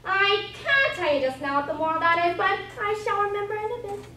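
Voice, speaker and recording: Stage role: moralizing old Duchess, piercingly high, singsong and affectionate, distant in a noisy, echoing room. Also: female voice, animated, bright, forceful tone, full character voice. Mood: gushing. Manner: drawn-out, singsong, gushing delivery. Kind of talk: readers theater performance.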